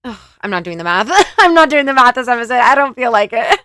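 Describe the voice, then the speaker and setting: A woman delivers reaction commentary with sarcasm and laughter, her voice pitched a little high and swinging all over. The sound is close and dry. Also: animated, fast, sharp tone, clear, resonant tone, no echo. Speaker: commentary host.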